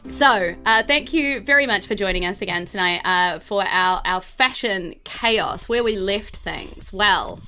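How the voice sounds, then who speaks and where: A woman with a New Zealand accent, chatting as host and game master of a live tabletop show in a bubbly, expressive way. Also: medium pitch, a little slow, hardly any pauses, faint music behind.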